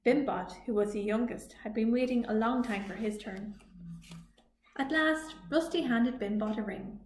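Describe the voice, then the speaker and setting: A woman reading a children's story aloud as a librarian, with expressive storytime narration. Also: fairly high pitch, moderate pace. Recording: echoey room, faint noise.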